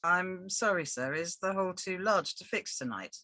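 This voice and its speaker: A woman's voice: fairly low pitch, animated, normal speed, bright, forceful tone. A woman playing a meek, put-upon hotel front desk worker in a script read-through, deferential and hesitant. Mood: meek, bewildered.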